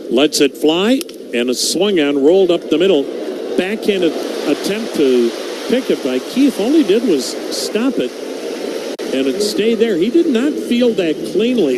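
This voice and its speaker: Radio baseball announcer, male, slightly high voice, steady conversational delivery, noisy broadcast audio. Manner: steady, conversational play-by-play with stats and stories.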